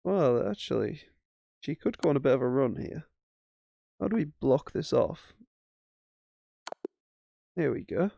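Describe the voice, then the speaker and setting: Man musing over chess moves, gravelly. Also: mid-range voice, animated, a little slow, long pauses, warm tone, no room sound. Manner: musing, self-mocking, thinking aloud. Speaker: chess streamer. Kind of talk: casual chess commentary while playing.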